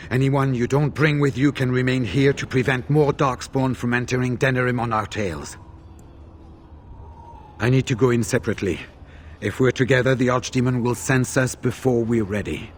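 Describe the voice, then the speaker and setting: An actor as a serious veteran warrior. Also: male voice, mid-range voice, a little hiss or hum, natural voice. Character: calm, serious veteran warrior giving battle strategy. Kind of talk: video game dialogue acting.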